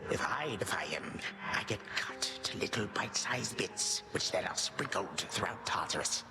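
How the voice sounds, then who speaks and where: An actor playing an ancient, bitter Titan grandfather in a video game, with a breathy hiss and grandiose, archaic, sardonic delivery. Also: fairly low pitch, animated, normal speed. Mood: playful, indulgent. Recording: loud music behind, echoing room. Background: loud game music.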